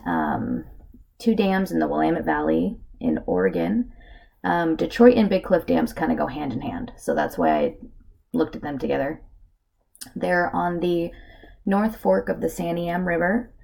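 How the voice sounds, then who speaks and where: A woman with a hoarse voice from a cold gives a casual narrated slideshow presentation, with lots of filler words. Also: deep voice, darkish tone. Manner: casual, with many filler ums. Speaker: student presenter.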